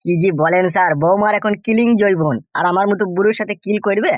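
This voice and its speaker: Guy doing cranky old cartoon father-in-law, super fast complaining, crude, muffled lo-fi sound. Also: sits high, no room sound, close to an everyday voice. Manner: grumbling, crude, argumentative. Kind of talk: exaggerated comic cartoon dialogue.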